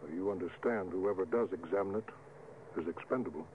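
An actor playing a stern, wary spaceship captain in a commanding, clipped 1950s radio drama style. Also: male voice, sits low, a little fast, lightly acted. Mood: measured. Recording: muffled, noisy vintage radio recording.

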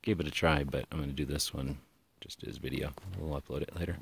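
A man giving a relaxed, rambling walkaround tour of his van outdoors, talking into a handheld camera. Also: rumbling low, quick pace. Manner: relaxed, rambling, frequent uh fillers. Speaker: vlogger. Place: outdoors in a mountaintop parking lot beside a van. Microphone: handheld action camera. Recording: outdoor camera audio with clear background noise.

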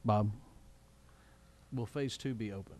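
Mellow, lowish-voiced man chairing a meeting. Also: a little slow. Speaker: presiding chair of a city council meeting. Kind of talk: chairing a formal public meeting.

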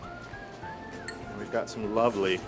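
A middle-aged male chef, talking through a cooking demonstration in a restaurant kitchen in a relaxed, explanatory way with fillers. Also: on the low side, takes it slowly, over music.

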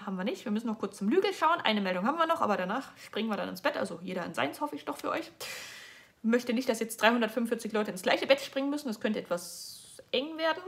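A woman's voice: a little high, creaky, a bit bright. A young woman from Germany giving casual, sarcastic news commentary on a livestream from her sofa, chatty and often restarting her sentences. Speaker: political vlogger. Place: indoors at home, seated on a sofa.

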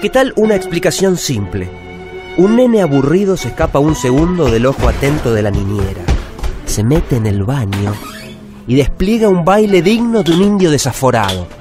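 A man's voice: a little high, very animated, normal speed, pauses often. An actor playing a wistful forty-year-old bookshop owner with a Rioplatense Argentine accent, narrating his routine life in a reflective radio drama. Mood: excited, elated. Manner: reflective, storytelling, self-correcting. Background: music bed.

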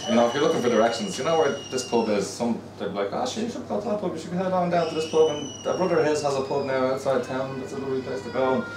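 A man's voice: medium pitch, rapid-fire, hardly any pauses, noisy background. A man in his thirties with a Dublin Irish accent, a fiddle player chatting in a rambling way between tunes in a pub. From Ireland. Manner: chatty, rambling, repeats words. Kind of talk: casual storytelling between tunes. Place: busy pub, indoors. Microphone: far camera. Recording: echoey pub from far off.

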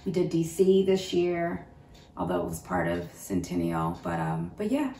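A woman in her forties, a consultant, joining her daughter's vlog Q&A from a living room couch, witty and quick to laugh. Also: on the low side, huge pitch swings, creaky, mellow, a little hiss or hum. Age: 40s. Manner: witty, laughing, fluent business talk. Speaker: guest, consultant, mother. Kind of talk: casual Q&A chat. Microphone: camera mic, from a distance. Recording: roomy, a bit distant.

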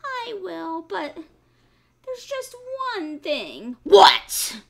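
Squeaky scared piglet, a woman doing the voice for a storybook read-aloud; very high, loud. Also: huge pitch swings, very slow, creaky, full character voice. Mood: pleading. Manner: squealing, pleading.

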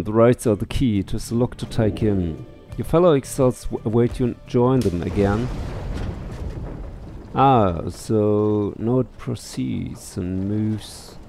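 A man's voice: on the low side, gravelly, mellow. A middle-aged man doing let's play commentary and reading game text aloud into a headset. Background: game music.

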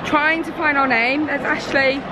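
A high-voiced young woman chats excitedly into a camera at arm's length, a little hoarse from a long day. Loud crowd chatter and constant noise fill the big convention hall behind her. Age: young adult. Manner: chatty, excitable, laughing often. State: losing her voice. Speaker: vlogger. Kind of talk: casual vlog chat. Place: large busy convention hall, indoors. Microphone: handheld camera at arm's length.

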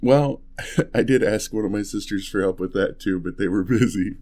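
A man playing an affectionate, playful young husband in an ASMR roleplay, talking softly and tenderly in a casual, hesitant way. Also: on the low side, normal speed, natural voice. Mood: sheepish, affectionate. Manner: soft, hesitant, chuckling, tender. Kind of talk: ASMR boyfriend roleplay, intimate casual chat.

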